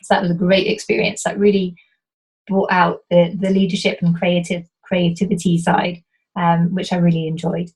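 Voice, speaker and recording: A woman's voice: lowish voice, level tone, normal speed, a little mellow, slightly rough, poor audio. A woman from Wales with a light, toned-down British accent, answering interview questions as a business coach, earnest and explanatory, often restarting her sentences. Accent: light British, toned-down Welsh.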